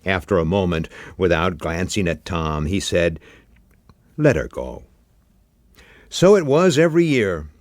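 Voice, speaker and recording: A man reading literary fiction in a deliberate, expressive, dramatic narration style. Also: low-pitched, dry recording, studio quality. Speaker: story reader.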